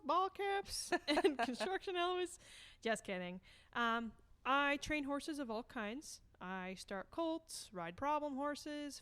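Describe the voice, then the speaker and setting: A woman's voice: on the high side, a bit bright, smooth. A woman co-hosting a casual podcast, playful and jokey in her delivery. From Canada. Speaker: podcast co-host, horse trainer.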